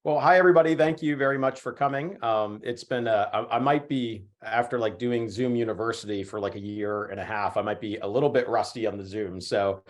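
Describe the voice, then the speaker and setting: Hoarse man giving an online lecture. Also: lowish voice, brisk, few pauses. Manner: hesitant, many fillers and restarts. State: hoarse from a chest cold. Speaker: history professor giving a talk. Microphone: computer mic on a video call.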